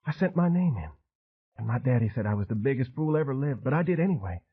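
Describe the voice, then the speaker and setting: A man voicing an unstable madwoman with a high, trembling voice, fragile and fervent. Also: animated, fast, husky, narrow, tinny audio. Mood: excited, rambling.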